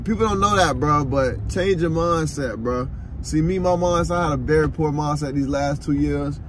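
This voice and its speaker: A man's voice: fairly high pitch, moderate pace. A twenty-year-old man, talking in a casual, laid-back motivational vlog from inside a car. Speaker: vlogger. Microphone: phone held low at arm's length. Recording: phone recording with car noise. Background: car and road noise.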